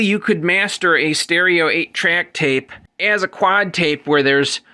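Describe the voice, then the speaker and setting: A man presents a technical demonstration in a somewhat high, bright voice. He explains things methodically and in detail, speaking off the cuff and correcting himself as he goes. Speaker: presenter demonstrating vintage audio gear.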